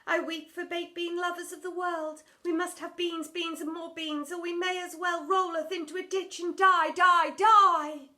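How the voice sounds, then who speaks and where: A woman's voice: very high-pitched, flat delivery, moderate pace, hardly any pauses, pushed and bright, voice pushed up. A woman voicing a grandiose, melodramatic female drama teacher from a children's storybook, declaiming theatrically in mock-Shakespearean English. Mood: tragic wailing.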